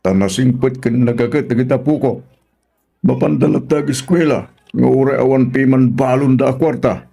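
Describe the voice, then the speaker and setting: An older Filipino uncle in a radio drama, played by a male actor, gravelly and mellow. He speaks with gruff, caring concern for his family. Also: medium pitch, normal speed. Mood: sympathetic, gently chiding.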